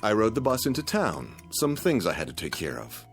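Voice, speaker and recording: Fussy frugal husband, very swoopy intonation, genteel, eager for bargains, radio drama, music behind. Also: male voice, fairly low pitch, huge pitch swings, brisk. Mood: smug, mild. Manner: genteel, fussy, wordy, musing to himself. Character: mild, penny-pinching husband, eager about free bargains.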